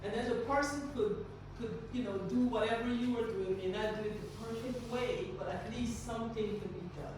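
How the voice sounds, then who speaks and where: A woman's voice: on the high side, moderate pace. A woman from Guyana giving a staff training talk with faith themes, earnest and encouraging, often restarting her sentences. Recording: very echoey, distant, noisy room recording.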